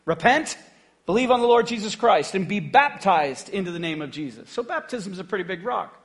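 A man's voice: sits high, lively intonation, a little fast. A man preaching a conversational sermon to his church, joking and often checking in with the congregation. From United States. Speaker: pastor.

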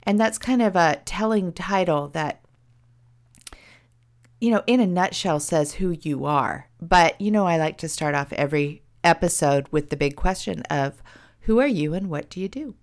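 A middle-aged woman hosting a podcast, chatting animatedly and a little self-deprecatingly, her voice hoarse from a cold. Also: fairly low pitch, moderate pace. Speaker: podcast host. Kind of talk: casual podcast chat.

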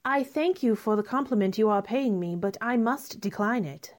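A spirited young gentlewoman, played by a woman in a radio play. Her high voice moves up and down a lot, and she is polite yet firm and outspoken. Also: expressive, moderate pace, close to an everyday voice. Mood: polite, restrained. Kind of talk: theatrical radio drama acting.

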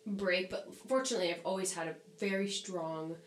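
A young woman chats easily as a guest, her voice sitting a bit low and a little nasal from a recent cold. She talks in a loose, lively run full of "like"s and bursts of laughter. The room rings with echo and a faint hum sits underneath. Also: a little fast. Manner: chatty, animated, laughs often, many "like"s. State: slightly nasal from recent sickness. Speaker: podcast guest, singer. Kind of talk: casual conversation.

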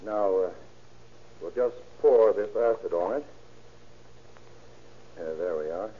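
An actor playing a careful male police chemist with a husky voice, explaining things deliberately in old-time radio drama style. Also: lowish voice. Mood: careful, explanatory. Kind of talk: 1930s-40s radio acting. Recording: dull old radio recording.

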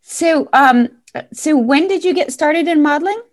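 A middle-aged woman hosting a podcast interview, eager and chatty with quick interjections. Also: high voice. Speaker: podcast host, modeling scout.

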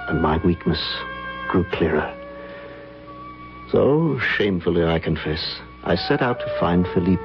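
A man acting in an old-time radio drama as an earnest, courteous gentleman, narrating and speaking in a formal, theatrical manner. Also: deep voice. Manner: formal, theatrical, solemn, hesitant. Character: earnest, courteous gentleman recounting a haunting memory. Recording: dull old radio broadcast, music behind.

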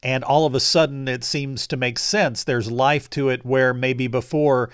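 A man's voice: medium pitch, steady tone, unhurried, clean tone, no echo. Earnest male podcast host guiding an interview, dry and close. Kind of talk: hosting a ministry interview podcast.

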